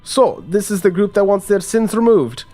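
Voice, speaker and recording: A game master man voices a haughty gangster sizing up strangers. The voice sits fairly high and speaks with formal disdain, over soft music. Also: expressive, normal speed. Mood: disdainful. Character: haughty, suspicious gangster in a fur coat.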